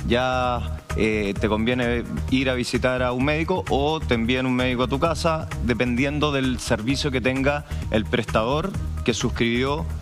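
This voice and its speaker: A man's voice: medium pitch, normal speed, hardly any pauses, over music. Chilean man explaining in a TV studio. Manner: explanatory, steady, hesitant fillers. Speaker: tech founder guest. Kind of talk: morning TV interview. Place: TV studio set. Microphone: headset mic.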